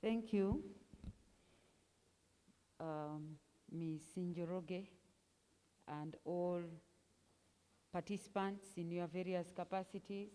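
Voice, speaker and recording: Slow, mellow-voiced woman minister. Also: on the low side, steady tone, in a large hall. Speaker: panelist, government minister. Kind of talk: formal panel introduction.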